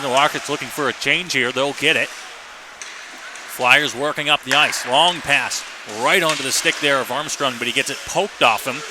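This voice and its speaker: A man giving live radio play-by-play commentary of a hockey game. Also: a little high. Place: commentary position in an indoor hockey arena. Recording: noisy arena ambience behind.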